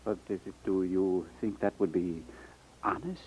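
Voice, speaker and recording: A man's voice: sits low, measured pace, husky. A man acting in a 1950s radio drama as a timid, honest older shopkeeper, hesitant and prone to stammering. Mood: doubtful. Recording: muffled 1950s radio recording.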